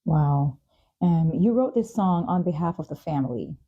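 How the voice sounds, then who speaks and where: A woman's voice: low voice, lively intonation, normal speed, husky. A woman hosting a talk show, asking conversational questions in an affirming way, on dull audio. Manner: affirming, with frequent short acknowledgements. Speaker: talk show host, interviewer.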